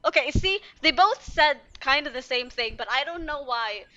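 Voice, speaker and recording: High, bright young-sounding excitable woman. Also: moderate pace, highs cut off. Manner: emotional, excitable. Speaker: gaming YouTuber. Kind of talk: casual live reaction to game dialogue.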